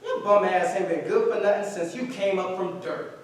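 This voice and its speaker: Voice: mid-range voice, moderate pace, lightly acted. An actor in a staged play reading playing a cocky, bitter young male acting student with a taunting, streetwise delivery. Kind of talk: staged play reading, heated dramatic dialogue. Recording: distant microphone in very echoey room.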